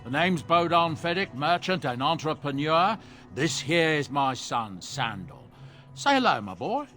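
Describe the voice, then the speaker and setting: A man's voice: a little high, sing-song, unhurried, pushed and bright, soft background music, exaggerated voice. An actor playing a jovial, talkative dwarf merchant with folksy salesman patter in a video game. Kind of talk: video game cutscene dialogue.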